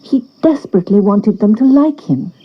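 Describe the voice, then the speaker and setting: A woman narrating a scripted children's story in an expressive storyteller's style. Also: medium pitch, moderate pace, lo-fi sound.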